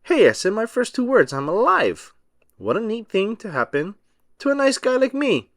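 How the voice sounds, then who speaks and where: A man's voice: high-pitched, wildly swooping pitch, normal speed, a bit bright, no echo, studio quality, pitched above the performer's own voice, full character voice. A man reading a children's storybook, voicing a cheerful, innocent snowman with childlike, exclamatory enthusiasm. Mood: joyful, amazed. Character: cheerful, innocent snowman newly come to life. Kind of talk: children's storybook read-aloud.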